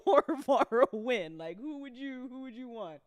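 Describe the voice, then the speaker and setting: A woman's voice: on the high side, lively intonation, moderate pace, no room sound. Playful woman livestreaming, dry close sound. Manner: informal, sweary, playful teasing. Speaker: host of an audio drama network's livestream. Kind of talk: casual livestream chat, responding to viewers.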